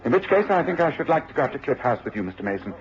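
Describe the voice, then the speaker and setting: An actor playing a commanding, observant English gentleman detective with a precise, authoritative way of speaking, in a radio drama. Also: medium pitch, big pitch swings, rapid-fire, close to an everyday voice. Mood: calm, commanding. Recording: dull, noisy old radio recording.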